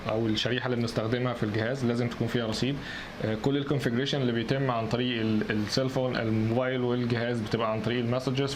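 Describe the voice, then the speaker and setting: A man with an Egyptian accent giving informal technical instructions as a co-presenter, often stammering and restarting his words. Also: medium pitch. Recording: noticeable ambient background noise.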